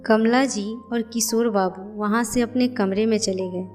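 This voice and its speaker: A woman's voice: on the high side, fairly even intonation, measured pace, darkish tone. Woman narrating with faint music. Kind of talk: scripted moral-story narration.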